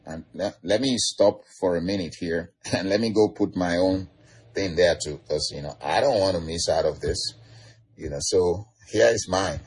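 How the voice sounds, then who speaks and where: A man with a Nigerian accent preaching an online sermon, laughing softly between lines and repeating declarations. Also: sits low, moderate pace, breathy edge. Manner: chuckles often, repeats declarations.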